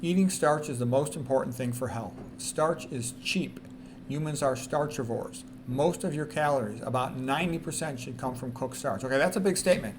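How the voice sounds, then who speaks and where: A man with a medium-pitched voice presents informally, reading quotations aloud and following them with his own commentary. He is emphatic and tends to repeat key statements. There is some noise behind the voice. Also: normal speed. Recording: noticeable background noise.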